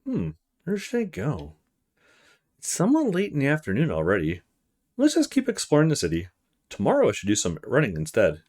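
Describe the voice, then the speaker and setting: A polite young male student from a visual novel, played by a man, muses casually in short fragments. The voice has a middle pitch and moves up and down a great deal. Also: wildly swooping pitch, fairly quick, a little mellow. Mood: musing. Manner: casual inner monologue with short musing fragments.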